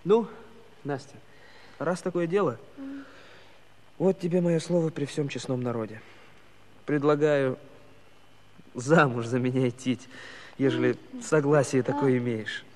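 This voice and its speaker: An actor playing an earnest, eager young Cossack villager in a musical play, speaking in a loud declamatory manner. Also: male voice, mid-range voice, unhurried, pauses often, big-room sound, natural voice. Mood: earnest, bashful. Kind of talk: musical radio-play acting.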